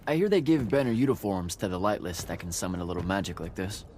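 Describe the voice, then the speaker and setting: An actor playing an irreverent young street thief in a video game, bantering casually and sardonically. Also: male voice, low-pitched, very animated, quick pace, a little mellow, a little hiss or hum. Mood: wry, questioning.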